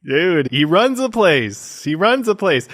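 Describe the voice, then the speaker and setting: A man co-hosting a casual discussion, retelling things in animated, profane slang and voicing reactions as he goes. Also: high voice, slow pace, no room sound, studio quality. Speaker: podcast co-host reviewing a horror film.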